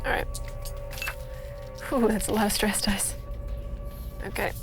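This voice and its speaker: A woman's voice: medium pitch, little pitch movement, unhurried, music in the background. A woman playing a tabletop roleplaying game, chatting casually as she handles her dice rolls.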